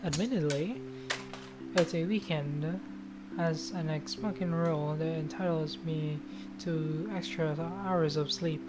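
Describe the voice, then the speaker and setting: A woman's voice: low-pitched, fairly even intonation, unhurried. A woman game streamer reading a visual novel aloud in a casual, sweary manner, with guitar music behind her. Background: loud guitar music.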